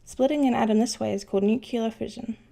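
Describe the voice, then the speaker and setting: A woman's voice: on the high side, fast talker, gravelly, dark tone, slight background noise. A woman playing a knowledgeable, unflappable ship's engineer who explains things patiently in an educational cartoon. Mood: patient explaining. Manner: patient, instructive. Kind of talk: scripted educational explanation in animation.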